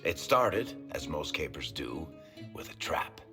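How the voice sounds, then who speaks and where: A man's voice: extremely low pitch, very animated, unhurried, over loud music, full character voice. A man playing a wry, world-weary dwarf storyteller who talks in a colloquial, teasing way in a video game. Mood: wry, amused. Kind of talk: video game cutscene acting.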